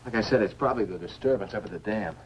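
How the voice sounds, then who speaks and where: An actor playing a rugged, practical technician at a remote station in a 1950s science fiction film. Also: male voice, lowish voice, racing, background noise, close to an everyday voice. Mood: matter-of-fact. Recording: old film soundtrack with music behind.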